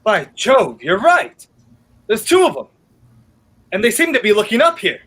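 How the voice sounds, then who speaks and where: A young man playing a flippant, skeptical gentleman in a 1930s radio thriller, wry and theatrical. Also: high voice, wildly swooping pitch, normal speed, heavily acted. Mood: startled. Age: young adult. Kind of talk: 1930s radio play acting.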